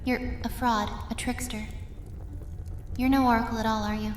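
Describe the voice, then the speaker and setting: Woman acting as young witch, fairly high voice, earnest, grave, determined, audio drama, long reverb. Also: flat delivery, moderate pace, lightly acted. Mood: accusing. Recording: echoing chamber reverb, music behind.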